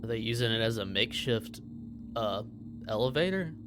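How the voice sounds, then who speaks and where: A man's voice: medium pitch, expressive, normal speed, very noisy. A man talking casually as a player in a tabletop game, describing what his character does. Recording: music behind the voice.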